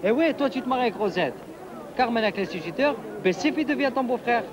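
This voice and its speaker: An actor playing a teasing, gossipy working-class neighbourhood pal in a comic drama. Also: male voice, very high-pitched, animated, fast. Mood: teasing, knowing. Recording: busy street noise and crowd.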